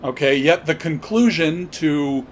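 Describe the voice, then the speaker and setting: Male commentator, higher-pitched voice, opinionated and emphatic solo delivery, with faint rumbling noise on the recording. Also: a little slow. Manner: emphatic, repeats and spells out points. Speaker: podcast host commentator.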